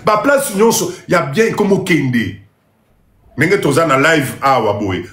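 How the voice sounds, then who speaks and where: A man's voice: a little high, fairly bright tone, reverberant. A man with a Congolese accent talking insistently in a live stream, full of rhetorical questions. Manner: insistent, rhetorical questions, mixing French and Lingala. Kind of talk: emphatic live-stream monologue.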